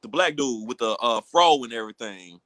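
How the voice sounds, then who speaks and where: A man's voice: mid-range voice, brisk, fairly bright tone. An American man co-hosting a podcast, talking casually in an emphatic, slangy way and repeating himself. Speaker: podcast co-host. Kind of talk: casual banter about comic book films.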